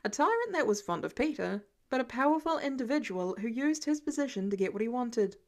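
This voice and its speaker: Higher-pitched woman narrating a story. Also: very animated, brisk. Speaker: audiobook-style fan fiction narrator.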